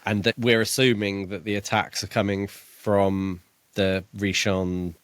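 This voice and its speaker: A man co-hosting a casual book discussion podcast, thinking aloud in a tentative, halting way. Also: lowish voice, flat delivery, no room sound. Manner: tentative, musing, halting delivery. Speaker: podcast co-host.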